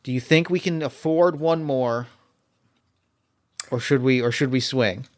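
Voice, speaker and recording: A man's voice: medium pitch, measured pace. A man acting as game master for a solo tabletop mystery game, thinking aloud as he weighs his options.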